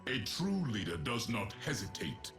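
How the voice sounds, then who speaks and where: An actor playing a proud, ancient emperor in a fantasy game, speaking in grand, formal, commanding declamation. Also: male voice, fairly low pitch, huge pitch swings, normal speed. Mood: proud, declaiming. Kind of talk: theatrical fantasy game voice acting. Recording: music behind the voice.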